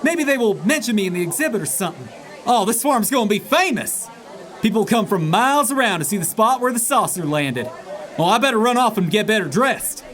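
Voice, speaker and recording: High, excitable, folksy farmer. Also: male voice, brisk, a faint crowd. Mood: eager, chatty. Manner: folksy, boastful, rambling, excitable. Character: chatty, self-important rural farm owner, eager for fame. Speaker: farmer witness being interviewed. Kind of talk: radio drama acting, folksy interview.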